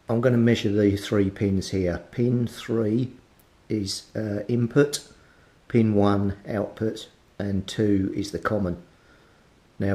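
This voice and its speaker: A man giving an unscripted, methodical repair walkthrough as a hobbyist, thinking aloud as he works. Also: warm tone. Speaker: hobbyist radio repairer presenting his progress. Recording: roomy echo, clear rumbling background noise.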